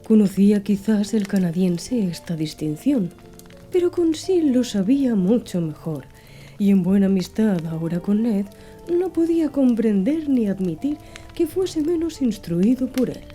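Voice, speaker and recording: A woman narrates literary prose in ASMR style. Her tone is very mellow and relaxed, yet the intonation is lively and varied. Faint music plays behind her. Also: mid-range voice, measured pace. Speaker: audiobook narrator. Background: soft music.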